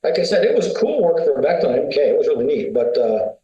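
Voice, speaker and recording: A middle-aged man with a high, mellow voice talks in loose, rambling stories, stacking fillers and asides as he goes. The sound is roomy and echoey, as if recorded in a bare room. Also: sing-song, fast talker, dull audio. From United States. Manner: rambling, story-telling, many fillers. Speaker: winery founder, guest. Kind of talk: casual interview talk.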